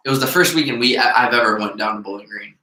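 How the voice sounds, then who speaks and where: A man of about twenty, co-hosting a casual podcast chat, rambling and laughing often as he gets excited. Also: medium pitch, fast talker. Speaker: podcast co-host. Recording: roomy echo.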